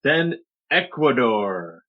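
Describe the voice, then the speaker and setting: A man co-hosting a podcast over a remote call, playful in chat and reading a poem dramatically, with his voice dropping low at the ends of lines. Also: very animated, drawn-out words, dull audio. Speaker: podcast co-host.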